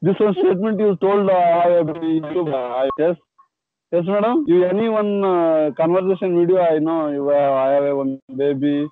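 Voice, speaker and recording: A man in his thirties with a Kannada-speaking Indian accent, chatting on a video call in halting English and often saying "yes, madam". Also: a little high, no echo. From Karnataka, India. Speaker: police head constable practicing English. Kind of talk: casual video call chat. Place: indoors by a door. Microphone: earphone mic on video call. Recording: dry, phone-like video call audio.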